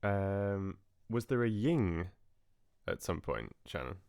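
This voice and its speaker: A man's voice: sits low, very animated, slow, darkish tone, clean tone, no room sound, studio quality. A man hosting a livestream, chatting casually with viewers in a friendly, hesitant way with trailing asides. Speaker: livestream host. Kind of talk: casual chat with live viewers.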